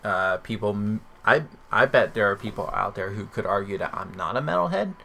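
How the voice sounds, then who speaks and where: A man's voice: fairly low pitch, lively intonation, a little fast, creaky, sharp tone. A man hosting a podcast in casual chat, rambling and questioning himself as he talks. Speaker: podcast host.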